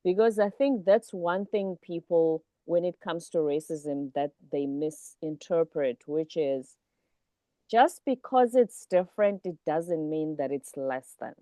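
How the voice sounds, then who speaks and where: A woman's voice: low voice, measured pace, dark tone, no room sound. A woman hosting a podcast interview, engaged and emphatic, affirming her guest and asking questions. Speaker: podcast host. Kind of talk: interview conversation.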